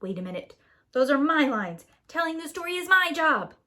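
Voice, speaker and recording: Bossy storybook narrator, acted by a woman: exasperated, forceful, high and very animated. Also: normal speed, full character voice. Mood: indignant. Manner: bossy, emphatic.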